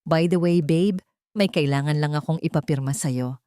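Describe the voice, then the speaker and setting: A woman's voice: deep voice, normal speed, mellow, dry recording, studio quality, close to an everyday voice. A woman voicing a scheming, falsely tender husband in an audiobook drama, coaxing and pushy.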